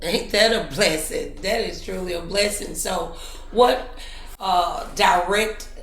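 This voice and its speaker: A woman's voice: on the low side, slow, a bit bright, roomy sound, soft background music. A woman hosting a motivational interview in an encouraging, preacherly manner. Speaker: talk show host.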